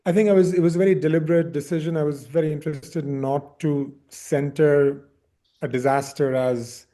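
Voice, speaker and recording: A man's voice: fairly high pitch, steady tone, normal speed, mellow. A man from India speaking as an interviewed film director, reflective, often repeating words as he searches for phrasing.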